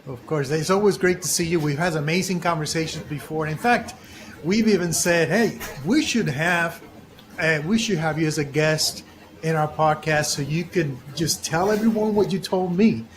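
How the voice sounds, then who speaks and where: A man hosting an interview podcast, talking in a deliberate way and repeating phrases for emphasis. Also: fairly high pitch, slight background noise. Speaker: podcast host.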